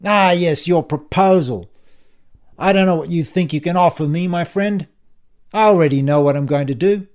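A man's voice: a little high, normal speed, lightly acted. Man acting a sneering, menacing audiobook bully. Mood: quiet venom. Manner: sneering, hissed threats, loud contemptuous bursts. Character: menacing, sneering, foul-mouthed male bully, contemptuous and vindictive. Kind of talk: audiobook character dialogue.

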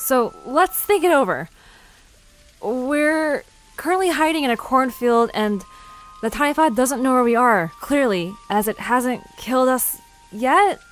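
A woman's voice: high voice, lively intonation, measured pace. A woman playing an anxious, impatient but practical young adventurer in naturalistic audio drama, hesitant and exasperated. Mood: anxious, reasoning. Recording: music under the voice.

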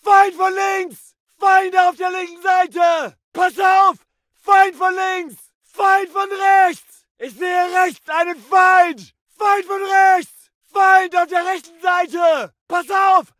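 A German wartime infantryman for a shooter game. He shouts urgent, slowly stretched callouts in a very high voice, recorded dry. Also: flat delivery, dry recording, exaggerated voice. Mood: alarmed. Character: German WWII infantryman yelling alarmed warnings. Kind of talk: video game combat barks.

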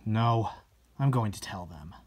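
A brooding teenage boy in a horror comic, played by a man. He muses in a low, breathy hush, slow and hesitant, anxious about a curse. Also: lively intonation, a little hiss or hum. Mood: uneasy, resolved. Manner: musing, hesitant.

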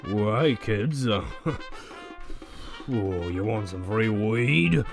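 A man's voice: fairly low pitch, expressive, drawn-out words, gravelly, over music, exaggerated voice. A man playing a shifty, falsely friendly dealer in a cartoon comic dub, furtive and drawling. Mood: furtive, chummy. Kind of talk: comic dub character acting.

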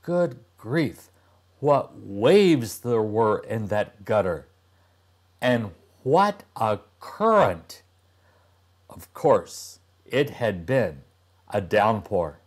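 Older guy in his 60s doing storytime for little kids, super slow, really animated, lots of pauses. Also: lowish voice. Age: older, about 60s. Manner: deliberate, dramatic pauses. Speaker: read-aloud storyteller for young children. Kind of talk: expressive storybook narration.

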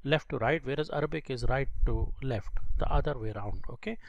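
A man giving a step-by-step software tutorial as an instructor, speaking in a halting, explanatory way into a close microphone. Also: mid-range voice, creaky, no room sound, muffled recording. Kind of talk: screen-recorded software tutorial.

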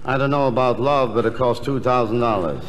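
An actor playing an anxious, thrifty, grumbling everyman in a comedy record sketch, with a deliberate delivery. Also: mid-range voice, close to an everyday voice. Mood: skeptical. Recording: noisy, reverberant old comedy record before audience.

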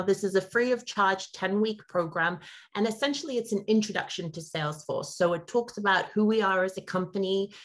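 Upbeat businesslike woman on a video call. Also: medium pitch. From Zimbabwe. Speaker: guest, partner account manager. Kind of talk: video call interview answers. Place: home room, indoors.

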